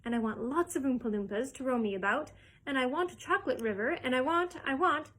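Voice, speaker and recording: A woman's voice: high-pitched, brisk, fairly bright tone. A woman reading aloud and voicing a spoiled, demanding little rich girl with a whiny delivery.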